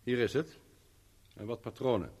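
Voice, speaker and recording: An actor in a 1970s radio play playing a bitter, vengeful older servant, speaking in a grave, formal, terse way. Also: male voice, medium pitch, moderate pace, darkish tone, slight background noise, close to an everyday voice. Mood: subdued.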